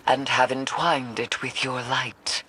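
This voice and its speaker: A slow, grave, mystical witch. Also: very deep voice, steady tone, bright, forceful tone, husky, exaggerated voice. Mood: solemn, commanding. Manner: formal, deliberate, ominous. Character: grave, mystical woman witch, solemn and commanding. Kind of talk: video game character acting.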